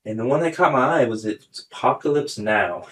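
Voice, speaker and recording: A man's voice: medium pitch, lively intonation, moderate pace, a bit bright. A man hosting a casual hands-on tech demonstration video, talking through each step as he goes. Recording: clearly noisy recording with clicks.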